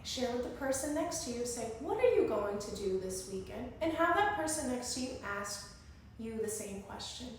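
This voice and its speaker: Lady teacher doing circle time, high voice, encouraging, asks kids stuff, super echoey and a bit far from mic. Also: slight background noise. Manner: encouraging, instructive, prompting listeners to respond. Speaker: early-grade classroom teacher leading circle time. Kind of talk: interactive children's lesson with questions, counting and pauses. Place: indoor room with strong echo. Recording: very echoey room, distant microphone.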